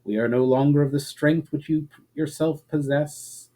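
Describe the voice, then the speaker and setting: Man as stately elven priestess, serene, solemn, formal, mellow, fantasy storybook. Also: a little high, steady tone, normal speed, natural voice. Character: powerful, serene elven moon priestess, stately woman leader.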